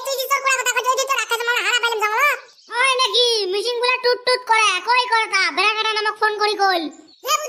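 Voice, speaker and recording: A man voicing an excitable, squabbling village character in a comedy cartoon, with a squeaky pitch-raised voice and a rural northern Bengali dialect. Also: exaggerated voice. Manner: exclaiming, bickering, repeating phrases.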